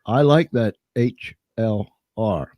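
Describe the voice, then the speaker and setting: A man hosting a live interview show in an upbeat, energetic manner. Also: lowish voice, slow pace. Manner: upbeat, energetic host patter. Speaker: show host.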